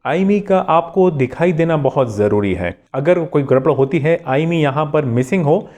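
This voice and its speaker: A man's voice: on the high side, animated, moderate pace, darkish tone. A man hosting a tech tutorial, narrating step by step in a polite, instructional way and repeating key steps.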